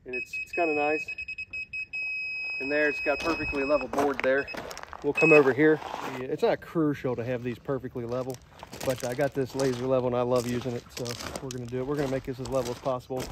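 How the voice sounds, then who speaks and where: A man in his thirties casually explaining barn layout on an outdoor building site, relaxed and plain-spoken with many fillers. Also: mid-range voice. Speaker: vlogger building a pole barn. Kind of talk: casual how-to narration. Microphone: handheld phone camera. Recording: outdoor phone audio with noise.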